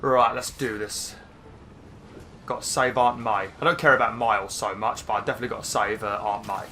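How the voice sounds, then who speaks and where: A man hosting a let's-play, slightly hoarse and chatty as he rambles through game menus. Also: on the low side, steady tone, fairly quick, bright, forceful tone, a little hiss or hum. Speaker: gaming channel host. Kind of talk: casual let's-play commentary.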